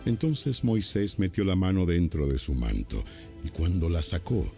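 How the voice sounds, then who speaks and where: A man's voice: low voice, very animated, normal speed, dark tone, soft background music. A man narrating a scripted, dramatized Bible audio.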